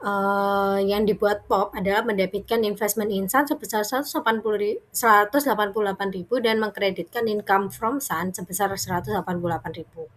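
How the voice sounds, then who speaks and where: A woman's voice: medium pitch, fairly even intonation, quick pace, few pauses. A young adult woman giving an informal student presentation, explaining step by step in a conversational way.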